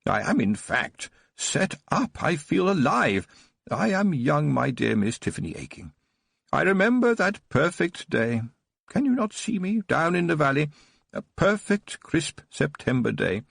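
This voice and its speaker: A man's voice: medium pitch, very animated, measured pace, gravelly, slightly rough, no echo, clean studio sound, heavily acted. A male narrator voicing a frail, elderly nobleman who recalls his childhood with trembling wonder, in a storybook reading. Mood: joyful. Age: older. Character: frail old man, tearful then joyful, noble.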